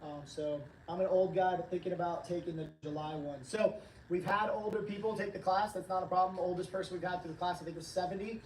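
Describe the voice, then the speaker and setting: A hoarse man hosting a casual livestream, upbeat and rambling as he reads chat comments aloud. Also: sits high, fairly even intonation, fast talker, a little hiss or hum. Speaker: livestream host, training company staff. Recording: roomy, distant from the mic.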